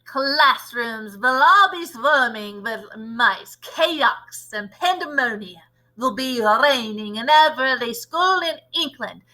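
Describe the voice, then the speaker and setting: Nasty old witch boss from a kids book, high sharp voice, gloating and chanting, broken grammar. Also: expressive, takes it slowly, heavily acted, rough recording. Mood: gloating, shouting. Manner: ungrammatical, chanting, theatrical shouting. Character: disgusting old witch leader, gleefully cruel, gloating. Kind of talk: children's storybook villain acting.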